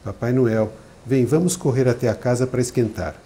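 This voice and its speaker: A man reading aloud and voicing a caring older sister who talks gently and coaxingly. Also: medium pitch, normal speed, slight background noise, lightly acted. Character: young woman, a warm caring older sister.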